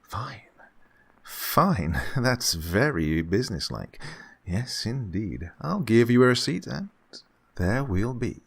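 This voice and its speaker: An actor playing an unctuous, scheming gentleman employer who feigns kindly absent-mindedness, fussy and repetitive, in an old-time radio horror drama style. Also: lowish voice, sing-song, slow, creaky, breathy edge, full character voice. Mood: pleased, sly. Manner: fussy, hesitant, repeats himself, chuckling.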